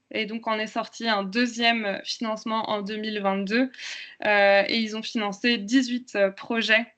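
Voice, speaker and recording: A woman's voice: mid-range voice, fairly bright tone. A French woman giving a webinar presentation on health, hesitant and full of fillers over a video call. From France. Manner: hesitant, many fillers and restarts.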